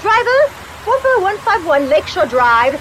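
An actress playing a sharp-tongued, snobbish young socialite with a catty, bossy manner in a horror radio play. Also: female voice, very high-pitched, sing-song, normal speed, slight background noise, full character voice, poor audio. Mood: impatient.

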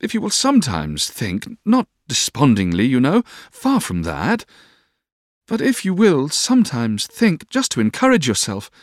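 A man voicing an earnest, ardent young Victorian gentleman in love, tender and exhorting, for an audiobook. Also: medium pitch, wildly swooping pitch, unhurried, no echo, studio quality, voice pushed up. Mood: gentle pleading. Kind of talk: audiobook character voice.

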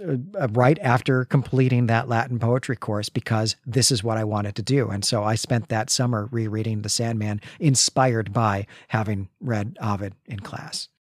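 A man with a mid-pitched voice talks as a podcast co-host. His delivery is casual but bookish, full of appreciation and detail. The recording is dry, without room sound. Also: dry recording. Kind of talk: casual, informed podcast discussion.

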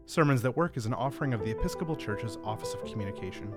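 Man reading an outro over loud guitar. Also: medium pitch, expressive, rapid-fire. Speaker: podcast announcer. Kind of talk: scripted podcast outro.

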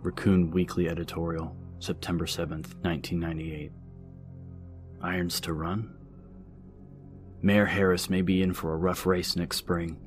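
Low voiced guy narrating news articles for an audiobook, pretty monotone, music playing under him. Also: moderate pace, long pauses. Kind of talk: scripted narration of newspaper reports.

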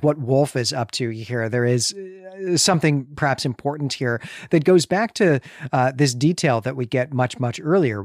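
A man's voice: medium pitch, a little mellow, no echo, studio quality. A man co-hosting a podcast, talking through a book casually in rambling, conversational sentences. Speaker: podcast co-host.

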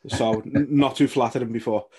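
A man asking casual interview questions in a relaxed way. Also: mid-range voice, brisk, slightly rough.

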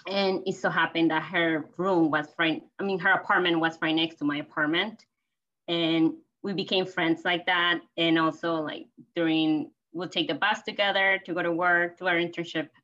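Low, bright-voiced Peruvian woman, dull recording. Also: steady tone, normal speed. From Peru. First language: Spanish. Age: 30s. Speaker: podcast guest. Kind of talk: casual personal storytelling. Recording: slightly dull, lo-fi audio.

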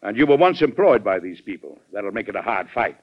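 An actor with an American accent playing a calm, resolute president with dry humor in a classic radio drama. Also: male voice, on the low side, brisk. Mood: measured, resolute. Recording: dull old radio recording.

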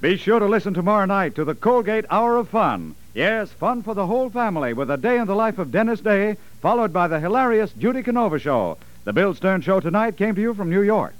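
A man's voice: high-pitched, sing-song, brisk, hardly any pauses, faint music behind, rough recording. A man reading scripted promos as a staff announcer on a 1950s radio broadcast.

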